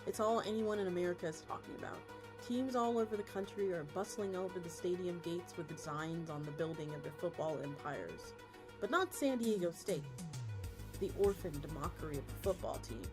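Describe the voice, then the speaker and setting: A woman's voice: on the low side, expressive, fairly quick. Woman streamer reading aloud over music. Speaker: VTuber streamer. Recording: music playing behind the voice. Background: background music.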